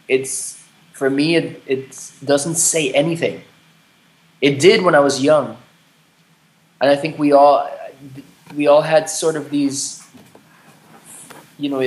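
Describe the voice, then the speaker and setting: A man's voice: mid-range voice, steady tone, a little slow, long pauses. A man in his late thirties in a candid conversation, speaking thoughtfully with frequent restarts and casual swearing. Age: 30s. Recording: roomy echo.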